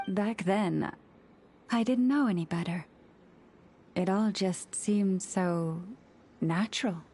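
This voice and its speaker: Slow, solemn moon priestess. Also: female voice, mid-range voice, a little mellow, breathy edge. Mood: solemn, reflective. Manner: measured, poetic, formal. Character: serene antlered moon priestess, solemn and gentle young woman. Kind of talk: scripted video game dialogue.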